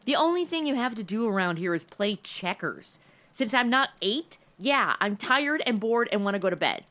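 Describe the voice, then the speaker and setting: A woman playing a sassy, sarcastic modern American young woman in a comedy audio drama, speaking in animated slang. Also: mid-range voice, moderate pace. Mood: bored, sarcastic. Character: sassy, bored modern young woman stuck in Old West.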